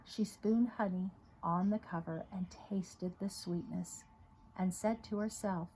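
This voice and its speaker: A woman with a husky voice reading a children's story aloud in an expressive, dramatic way. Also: medium pitch, unhurried, slight background noise, poor audio. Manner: dramatic, with emphatic repetition and hushed moments. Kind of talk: expressive read-aloud narration.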